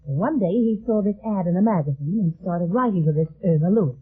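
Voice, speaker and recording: An actress playing a worried, earnest farm woman in a 1950s radio detective drama, speaking haltingly on a muffled old recording. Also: fairly low pitch, lively intonation, breathy edge. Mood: wistful, worried. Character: anxious farm woman seeking her missing brother.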